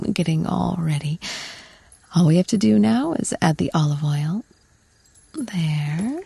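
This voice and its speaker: Possessive yandere housewife, played by a woman for ASMR roleplay: lowish, very breathy, whispery, sweetly coaxing and instructive. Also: no room sound, exaggerated voice. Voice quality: breathy, often slipping into whisper. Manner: coaxing, doting, softly instructive. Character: possessive, doting yandere wife training her captive husband.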